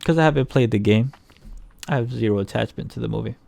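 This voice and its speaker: A man's voice: mid-range voice, fast, vocal fry, dark tone, no room sound. A man co-hosting a film review podcast in casual, slangy, opinionated banter with some swearing. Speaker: podcast co-host reviewing films.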